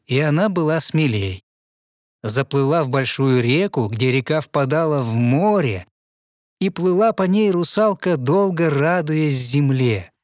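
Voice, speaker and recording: A man narrating a fairy tale in verse, with measured, rhythmic storytelling. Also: fairly high pitch, darkish tone, dry recording, clean studio sound.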